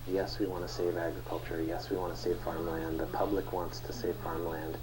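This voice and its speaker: A man's voice: low-pitched, little pitch movement, a little fast. A man with an American accent giving unscripted interview answers for a news report. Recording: clear background noise on location.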